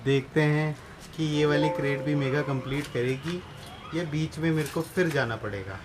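A man's voice: fairly high pitch, normal speed. A young man co-hosting a casual vlog, bantering and teasing with playful film-style lines on an open rooftop terrace. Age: young adult. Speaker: co-host. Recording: noisy outdoor ambience, off-mic.

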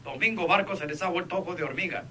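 An actor playing a scornful, mocking police captain, sarcastic, in a radio serial. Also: male voice, high voice, quick pace, bright, forceful tone, a little hiss or hum. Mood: mocking, indignant. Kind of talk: radionovela acting.

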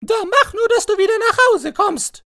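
A man's voice: very high voice, expressive, moderate pace, gravelly, sharp tone, no room sound, studio quality, pitched above the performer's own voice, heavily acted. A man voicing a haughty, scornful stork in a storybook, brusque and dismissive. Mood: scornful, indignant.

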